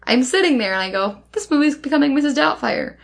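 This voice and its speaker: A woman's voice: high-pitched, animated, fast talker, vocal fry. Chatty, sarcastic woman podcast co-host. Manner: chatty, sarcastic, self-mocking asides. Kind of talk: casual movie recap chat.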